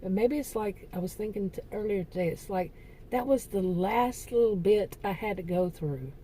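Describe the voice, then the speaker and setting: Middle-aged woman, rambling vlog monologue, halting and self-correcting, mellow tone, worn voice, faint hiss behind. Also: medium pitch. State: losing her voice. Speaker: vlogger. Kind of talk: casual personal vlog monologue.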